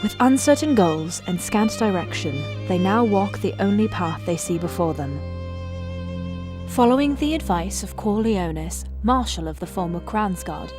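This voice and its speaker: A woman giving scripted dramatic narration as a storyteller, solemn, formal and measured. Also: medium pitch.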